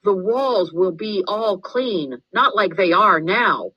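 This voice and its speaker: A woman's voice: mid-range voice, animated, takes it slowly, slightly rough, lo-fi sound, pitched above the performer's own voice. A woman voicing an earnest, determined young girl giving a campaign speech in a storybook read-aloud. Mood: earnest, pleading. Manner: declamatory, determined, pleading.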